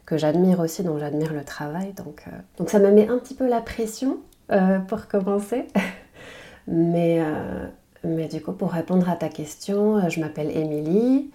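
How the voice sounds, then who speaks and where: A woman's voice: fairly low pitch, unhurried, dark tone. A woman from the French Basque Country, a French teacher and podcaster, speaking thoughtfully and hesitantly with many "euh"s as an interview guest. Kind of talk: interview conversation.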